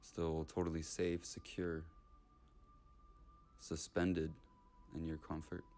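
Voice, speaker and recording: A man leading a guided meditation in short, unhurried, soothing phrases with long gaps between them. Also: very deep voice, monotone, a little mellow, faint music behind.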